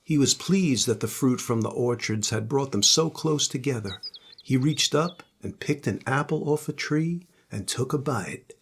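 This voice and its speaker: An older man, a grandfather, reading a children's storybook aloud in a husky voice. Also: medium pitch, unhurried.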